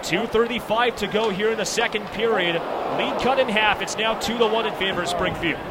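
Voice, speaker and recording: A man's voice: high-pitched, brisk, heavy background noise. A man giving live radio play-by-play of ice hockey, with clipped, present-tense calls. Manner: clipped present-tense calling, rising to excited calls at big moments. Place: broadcast position high above the rink in an arena.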